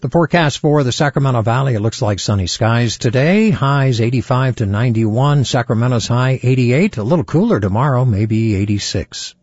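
A male newscaster reads news items and sponsor messages in a measured announcer delivery. His voice is husky. The studio sound is very dry and slightly muffled. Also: mid-range voice, darkish tone, dry recording. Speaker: radio newscaster.

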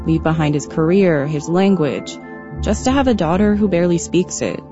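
A woman in her twenties narrating her own story in a reflective, measured, scripted way. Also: fairly low pitch, expressive, over music. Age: 20s. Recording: dull, muffled audio.

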